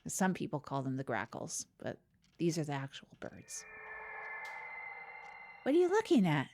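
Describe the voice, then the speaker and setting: A woman playing an earnest, chatty small-town co-host of a local news show, speaking in a halting, literal way. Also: deep voice, huge pitch swings, background noise, heavily acted. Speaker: co-host of a comedy news podcast. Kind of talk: improvised mock local public-access news chat.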